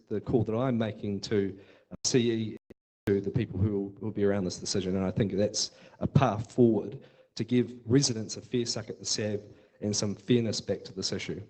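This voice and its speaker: Halting New Zealand man. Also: on the low side, a little mellow, poor audio. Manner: halting, restarts, appeals to council. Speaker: speaker at public meeting. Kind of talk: public meeting address.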